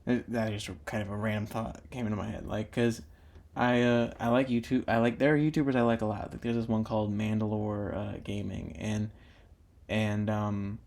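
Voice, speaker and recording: A man's voice: lowish voice, little pitch movement, moderate pace, creaky, a little mellow. A young man talking casually while drawing for his own video channel, meandering with many fillers and restarts. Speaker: drawing YouTuber.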